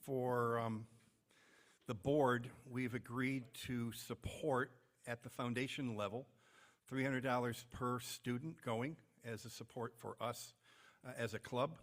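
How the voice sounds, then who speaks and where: A man's voice: mid-range voice, fairly even intonation, a little slow. A man with an American accent reading announcements as host of a club meeting, with informal asides.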